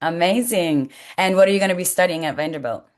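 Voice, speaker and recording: Low-voiced woman hosting a webinar. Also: fast. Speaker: host, college coaching staff. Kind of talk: hosting a webinar, semi-formal talk.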